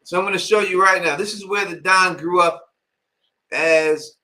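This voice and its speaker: Rambling New man, bright voice. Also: high-pitched, fairly even intonation, moderate pace. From Queens, New York. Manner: rambling, lots of filler phrases. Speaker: live stream host. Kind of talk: casual live-stream Q&A.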